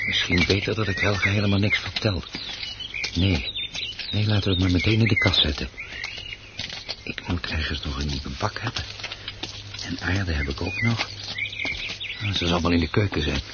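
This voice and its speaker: A man's voice: deep voice, moderate pace, pauses often, close to an everyday voice. Guy acting polite keen hobby man in a radio play, bit hesitant, muffled hissy old recording. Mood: absorbed, pleased. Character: polite, eager male hobbyist with big ambitions.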